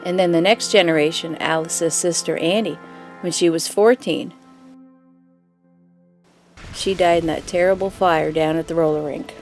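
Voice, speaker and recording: A woman playing a grave mother who tells an old family story in a measured, wistful way in an audio drama. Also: fairly low pitch, fairly even intonation, darkish tone, faint music behind, natural voice. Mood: grave, uneasy.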